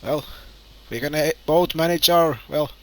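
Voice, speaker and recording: A man, roleplaying a survivor in an online game, talking hesitantly with many pauses and restarts. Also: a little high. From Finland. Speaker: roleplay player. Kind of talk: in-character game roleplay chat.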